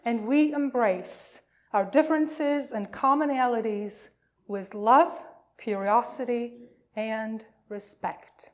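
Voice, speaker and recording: Slow-spoken woman pastor, higher-pitched voice. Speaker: pastor leading a worship service. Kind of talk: church welcome and liturgical reading.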